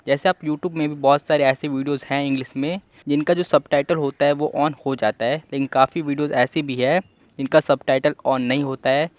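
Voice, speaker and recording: A young man hosting an online English-listening lesson, giving repetitive advice in a steady explanatory voice-over. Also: on the high side. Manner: repetitive, advising tone. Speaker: English-learning channel host.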